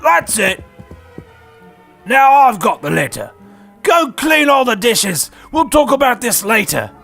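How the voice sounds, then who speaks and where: Someone doing a grumpy bullying uncle in a comedy story, scolding, jumpy pitch, music under it. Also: big pitch swings, slow, vocal fry, a bit bright, full character voice. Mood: demanding. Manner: blustering, scolding. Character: bullying, irritable middle-aged uncle, blustering.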